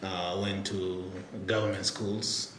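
A man's voice: low-pitched, level tone, slow. A middle-aged man with a Kenyan accent telling his story casually as a podcast guest. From Nairobi, Kenya. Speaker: podcast guest, tech product executive. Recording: echoey room with faint background noise.

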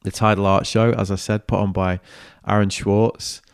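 A man's voice: fairly low pitch, little pitch movement, moderate pace, gravelly, clear, resonant tone, dry recording, clean studio sound. A man hosting a podcast, chatty and upbeat, trading banter and wrapping up the episode on his own. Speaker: podcast host. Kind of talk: casual chat and solo wrap-up.

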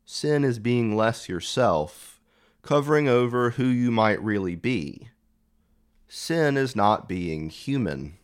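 A man preaching a reflective homily in measured, deliberate phrases. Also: medium pitch, expressive, smooth.

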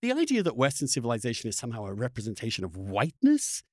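A man's voice: medium pitch, huge pitch swings, very fast, a little mellow, dry recording, studio quality. A man hosting a podcast, talking in an opinionated, unscripted way, emphatic and often restarting his phrases. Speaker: podcast host.

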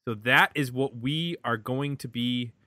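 An American man hosts a casual music podcast in a bright, forward voice. His delivery is wordy and halting as he works through his points. The sound is dry and close, with almost no room. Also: medium pitch, no echo. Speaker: podcast host.

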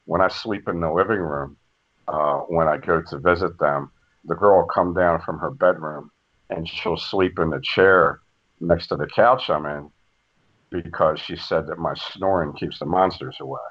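An older man with a very deep, low voice talks in a slow, measured way and restarts his sentences often. The sound is dull and lo-fi, as if the recording lost its top end. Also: fairly even intonation, breathy edge. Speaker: guest. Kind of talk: casual podcast conversation. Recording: dull lo-fi audio.

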